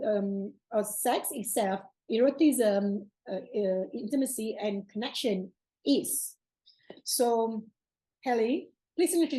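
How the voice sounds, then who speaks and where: A young woman host with a fairly high, mellow voice speaks warmly and effusively. She restarts sentences often and gestures as she talks over a webcam video call from her home. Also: rough recording. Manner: effusive, many fillers and restarts, hand gestures. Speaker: podcast host. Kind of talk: casual video call interview. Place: bright home room indoors.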